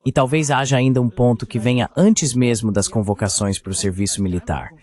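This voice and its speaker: A man's voice: medium pitch, brisk, a little mellow. A man giving an insistent, argumentative voice-over translation of a guest on a discussion panel.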